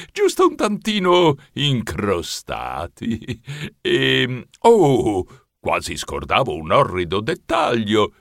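Un uomo che interpreta in un audiolibro personaggi cerimoniosi e subdolamente compiaciuti, con tono teatrale e finto solenne. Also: male voice, low voice, vocal fry, pushed and bright, no echo, studio quality, full character voice. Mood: sly relish. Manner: theatrical, mock-solemn, relishing. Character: ceremonious, slyly gloating male characters of spooky comic tale. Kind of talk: audiobook character acting.

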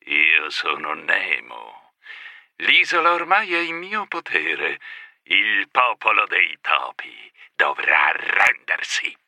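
A sinister rat mastermind, speaks in a deep, forceful voice with slow, hissing menace. His all-knowing delivery swings dramatically in pitch. It is villain acting for a children's audio story. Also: wildly swooping pitch, no echo, heavily acted. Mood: cold menace. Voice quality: sibilant, hissing. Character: sinister, mysterious male rat underworld mastermind.

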